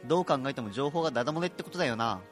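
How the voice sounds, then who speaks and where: A man playing a gruff, decisive squad fighter barking orders in an amateur radio drama. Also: mid-range voice, expressive, racing, soft background music, natural voice. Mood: urgent, commanding.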